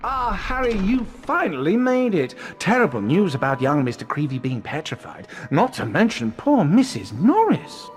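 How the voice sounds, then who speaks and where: A man's voice: sits high, very animated, moderate pace, hardly any pauses, soft background music. A man playing a vain, pompous, showy wizard professor in a video game. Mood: grandly instructive. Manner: pompous, effusive, self-satisfied. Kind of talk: theatrical video game voice acting.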